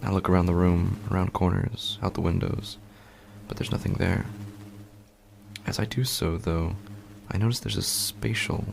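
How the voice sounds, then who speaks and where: A man playing an uneasy, sleepless husband in a horror podcast, telling his story slowly and haltingly in a hushed, gravelly, husky voice. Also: deep voice, fairly even intonation, a little hiss or hum. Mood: tense, bewildered. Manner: hushed, deliberate, halting with long pauses. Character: uneasy, sleepless husband haunted by faint night sounds. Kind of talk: slow first-person horror podcast narration.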